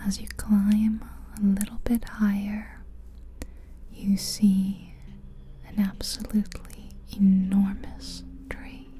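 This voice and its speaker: A woman whispering gently in a breathy, soothing ASMR style, guiding a relaxing visualization with long unhurried pauses. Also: mid-range voice. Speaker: ASMR guide narrating a guided visualization. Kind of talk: soft-spoken ASMR guided visualization. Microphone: close-up ear-to-ear ASMR microphone.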